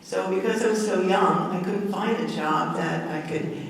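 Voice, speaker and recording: A woman's voice: fairly low pitch, moderate pace, a little hiss or hum. A woman in her eighties with a Brooklyn accent, telling stories as a guest speaker and laughing as she goes. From Brooklyn, New York. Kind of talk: storytelling talk. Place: library hall. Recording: echoey hall, distant from the mic.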